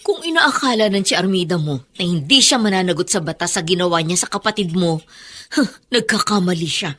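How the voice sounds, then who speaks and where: A woman's voice: on the low side, animated, fairly quick, fairly bright tone. A Filipino actress playing a defiant, long-suffering middle-aged mother, emphatic and melodramatic, in a Tagalog radio drama. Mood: bitter, determined.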